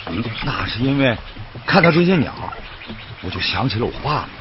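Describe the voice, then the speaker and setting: A man's voice: medium pitch, very animated, brisk, crowd noise, natural voice. An actor playing a kindly, principled boatman in a radio drama, with a folksy, earnest Northeastern Chinese accent. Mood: reflective, warm. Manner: folksy, earnest, plain-spoken. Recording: dull radio recording with loud music.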